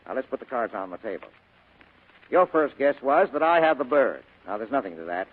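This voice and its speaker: Hard-boiled detective, husky, animated, old radio. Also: male voice, medium pitch, fairly quick. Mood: wry, in control. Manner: clipped, slangy, sardonic. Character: tough, wry, unflappable male private detective. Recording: muffled vintage radio drama recording, phone-like band.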